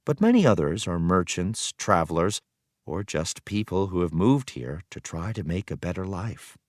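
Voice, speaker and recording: A man reading an educational text aloud as a teacher, with expressive storytelling emphasis. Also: deep voice, normal speed, no echo, studio quality. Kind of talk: scripted educational read-aloud narration.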